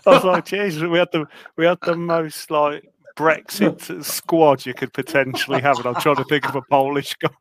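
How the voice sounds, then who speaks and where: A man's voice: on the high side, steady tone, moderate pace, few pauses, a bit bright, no room sound. A man co-hosting a casual podcast, reading out quiz questions and laughing at himself. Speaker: podcast co-host running the quiz.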